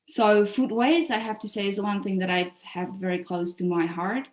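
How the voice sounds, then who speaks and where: A woman's voice: medium pitch, steady tone, normal speed. A woman from Vietnam, speaking candidly and earnestly as a webcast host in casual interview talk. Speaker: culinary association staff member and webcast host. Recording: echoey room sound.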